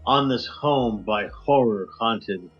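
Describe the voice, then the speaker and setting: Man reading verse in low measured tones. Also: breathy edge, dull audio, soft background music. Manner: measured, low dramatic delivery. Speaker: podcast guest and co-host. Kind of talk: dramatic poetry reading. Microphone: video call.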